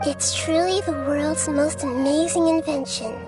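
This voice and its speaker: A gentle, earnest young girl goddess, speaking softly and politely. Her voice sits high and unhurried, with faint game music beneath. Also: fairly even intonation. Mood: tender. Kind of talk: video game cutscene dialogue. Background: game score music.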